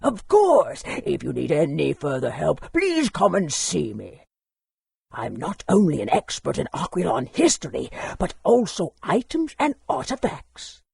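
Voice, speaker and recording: An actor playing a courteous, bookish town historian in a fantasy game, speaking politely and in a measured, scholarly way. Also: male voice, fairly high pitch, wildly swooping pitch, vocal fry, a little mellow, slightly rough, no room sound, heavily acted. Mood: helpful, cautionary.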